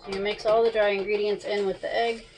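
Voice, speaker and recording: Casting: woman, 30s, mid-pitched, casual instructional home-cook delivery, recorded in a small kitchen with clear noise behind. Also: flat delivery, quick pace, a little mellow, poor audio. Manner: chatty, instructional. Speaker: home cooking vlogger. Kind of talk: casual cooking tutorial. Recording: clear background noise and clicks.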